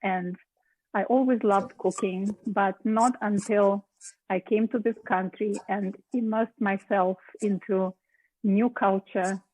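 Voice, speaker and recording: A middle-aged woman talks in a casual, conversational way, pausing and restarting now and then. The sound is dry and close, with no room echo. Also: medium pitch, steady tone, a little mellow, breathy edge. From Latvia. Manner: conversational, with hesitations. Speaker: cooking show host. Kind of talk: casual personal account.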